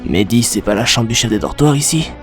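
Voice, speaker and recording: A man playing a slow-witted, feared monster king's son with a puzzled manner, in an anime fan dub. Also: mid-range voice, expressive, soft background music, natural voice. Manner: dim, unhurried, puzzled.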